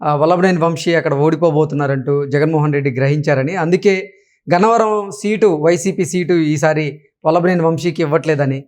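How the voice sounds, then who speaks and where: Higher-pitched man hosting a news interview. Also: a little fast, darkish tone. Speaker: interviewer, host.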